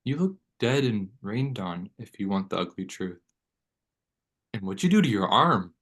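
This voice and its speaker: A man's voice: fairly low pitch, big pitch swings, moderate pace, a bit bright. A man playing a laid-back, blunt but loyal teenage boy in a table read of a horror script. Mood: blunt, casual.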